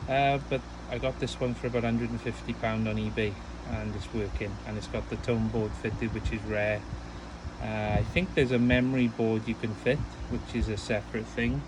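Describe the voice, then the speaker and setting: A man's voice: lowish voice, little pitch movement, moderate pace, background noise. A man chatting casually and at rambling length as an amateur radio operator. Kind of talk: casual ham radio chat.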